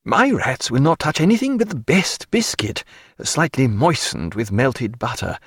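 A man voicing a learned, secretive ship's doctor with a measured, precise manner, in an audiobook. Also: mid-range voice, big pitch swings, fairly bright tone, breathy edge, no room sound, clean studio sound, full character voice. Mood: dry, precise.

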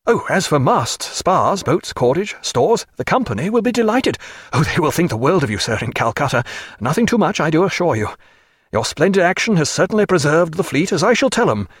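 A man's voice: a little high, big pitch swings, brisk, husky, no room sound, clean studio sound, voice pushed up. A man voicing an effusive middle-aged merchant captain in an audiobook, eager and fussy.

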